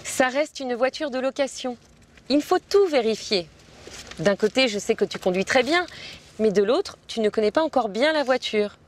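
Careful, by-the-rules woman in her twenties acted by a woman, high voice, faint street noise. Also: expressive, moderate pace, close to an everyday voice. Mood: cautious, insistent. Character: cautious, rule-minded young woman passenger. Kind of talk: scripted French lesson dialogue. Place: outdoors on a city street, by and in a car.

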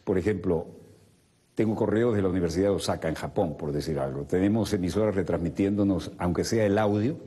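A male TV host speaks at length with colloquial asides. His voice sits low and sounds mellow with a husky edge. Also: brisk. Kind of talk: studio TV interview. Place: TV studio. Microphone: lapel mic.

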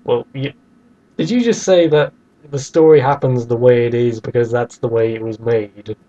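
A man's voice: mid-range voice, moderate pace, warm tone, lo-fi sound. A man co-hosting a podcast, debating casually in a wry, sceptical way. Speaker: podcast co-host.

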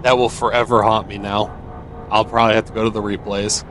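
A man's voice: on the low side, flat delivery, a little fast, fairly bright tone. Man doing casual game commentary over noisy ambience. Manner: thinks aloud, asking himself questions. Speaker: gamer playing and commenting on a video game.

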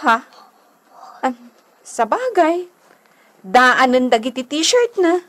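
A woman's voice: high-pitched, very animated, takes it slowly, fairly bright tone, exaggerated voice. A woman with a Filipino accent acting in a radio drama as a questioning wife. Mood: puzzled, questioning. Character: wife questioning a friend about her husband.

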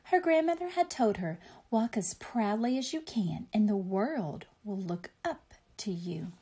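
A woman's voice: medium pitch, animated, measured pace, dark tone, slightly rough. A woman reading a picture book aloud expressively for young children, explaining things simply like a teacher.

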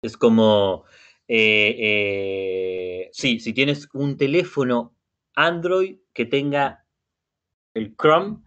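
A man with a Uruguayan Spanish accent guides learners through an online conversation class. His voice sits at a middle pitch, and he talks slowly, repeating words with patience. The sound is muffled, like web meeting audio. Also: fairly bright tone. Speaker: language class facilitator.

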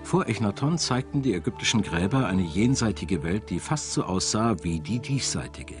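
Male documentary narrator, lowish pitch, animated intonation, clear articulation, scripted explanatory delivery. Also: moderate pace. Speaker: documentary narrator and voice-over translator. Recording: music behind the voice. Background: background music.

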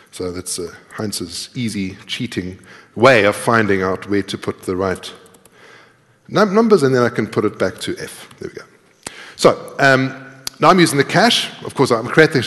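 A middle-aged man presents a programming demo with a mid-pitched voice. He talks informally and thinks aloud as he types, with some self-deprecation. The sound carries the long reverb of a lecture hall. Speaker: conference speaker, programmer. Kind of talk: live coding demo talk, explaining while typing. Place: large tiered lecture hall, indoors.

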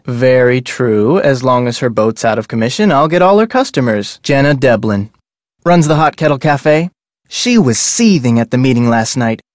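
A man's voice: fairly high pitch, no room sound, studio quality, lightly acted. A man voicing a chatty, salesmanlike whale-watch operator with a sly side in a video game, with a breezy, glib way of talking. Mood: gossipy, grumbling. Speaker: game voice actor. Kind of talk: video game character dialogue.

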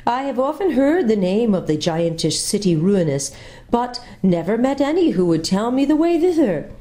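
A woman's voice: on the high side, sing-song, normal speed, warm tone, pitched above the performer's own voice. A woman voicing a lovely, charming lady in green who speaks sweetly and musically, trilling her R's. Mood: gracious.

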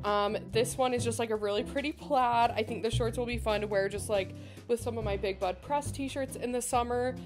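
A young woman in her twenties chatting casually through a thrift try-on haul, bubbly and full of "like" fillers, speaking into a handheld recorder in a bright room. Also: fairly high pitch, steady tone, a little fast. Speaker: thrift vlogger. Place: bright indoor room with shelf backdrop. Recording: background music under voice. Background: background music.

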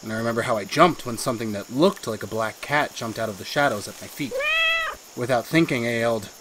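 A man's voice: medium pitch, fast, close to an everyday voice. An actor playing a haunted, brooding man who confides like a narrator in a retro radio drama. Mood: brooding confession. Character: haunted husband confessing a murder plan, uneasy academic. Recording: faint background noise.

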